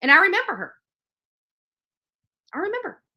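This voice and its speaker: A woman's voice: very high-pitched, big pitch swings, moderate pace, pushed and bright. A woman livestreamer delivering a combative, emphatic rant to her viewers, repeating phrases for stress. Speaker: livestream host.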